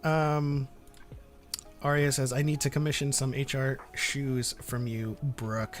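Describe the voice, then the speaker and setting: A man hosting a live stream, chatting casually and reading out comments in a halting, easygoing way. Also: medium pitch, level tone. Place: online group live stream. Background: background music.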